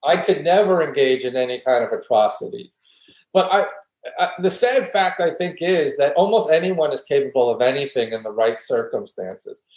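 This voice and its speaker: A man's voice: mid-range voice, moderate pace, a little mellow. A man, a philosophy guest, earnestly explaining his argument step by step in an interview. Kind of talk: conversational interview, reflective argument. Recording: roomy echo.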